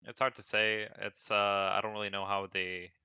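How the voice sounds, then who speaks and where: Bright-toned Danish man, hesitant. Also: fairly low pitch, flat delivery, normal speed, smooth, dry recording. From Denmark. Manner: hesitant, lots of fillers. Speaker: esports player. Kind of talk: casual interview chat.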